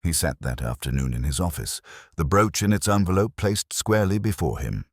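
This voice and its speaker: A man narrating a scripted mystery story in a measured, literary manner. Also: low voice, very animated, breathy edge, no room sound, clean studio sound.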